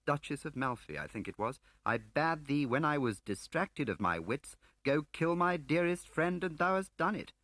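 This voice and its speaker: A man voicing an urbane, flippant gentleman spy in an audiobook, with a droll upper-class English manner. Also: on the high side, sing-song, moderate pace, few pauses, no echo, voice pushed up. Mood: breezy. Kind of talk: audiobook character acting.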